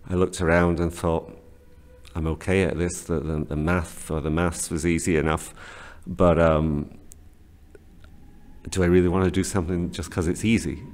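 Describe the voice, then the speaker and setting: A man's voice: sits low, little pitch movement, moderate pace, a little mellow. A man in his forties from Scotland, raised in northern England, answering interview questions with many fillers and restarts. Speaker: guest, teacher trainer. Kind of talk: casual interview. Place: small classroom office. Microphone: studio mic on desk stand.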